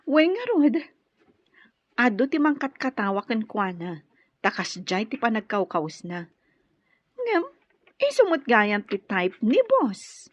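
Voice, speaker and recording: Slow, teasing office gossip. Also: female voice, on the high side, big pitch swings, no room sound, full character voice. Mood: gossipy. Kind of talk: Ilocano radio drama acting. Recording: dull lo-fi audio.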